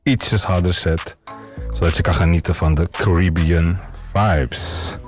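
A man's voice: deep voice, fairly even intonation, measured pace, faint music behind. A man from the Netherlands with a Dutch accent, hosting a radio show and interviewing a guest in a casual, hesitant way with many fillers.

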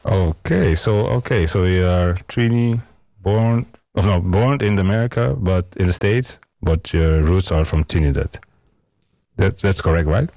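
A man's voice: low-pitched, a little slow, dark tone, no room sound. A man from the Netherlands with a Dutch accent, hosting a radio show and interviewing a guest in a casual, hesitant way with many fillers.

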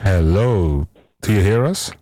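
A man's voice: low-pitched, animated, measured pace, mellow. A man from the Netherlands with a Dutch accent, hosting a radio show and interviewing a guest in a casual, hesitant way with many fillers.

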